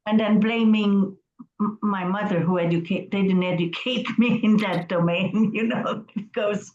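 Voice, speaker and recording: A woman's voice: medium pitch, steady tone, measured pace, darkish tone, faint music behind. Hesitant woman on a roomy, dull video call. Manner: hesitant, reflective, restarts words. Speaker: class participant.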